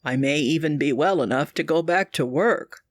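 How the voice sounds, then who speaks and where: A woman voicing a hopeful middle-aged father who speaks in a lowered, steady voice. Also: moderate pace, no echo.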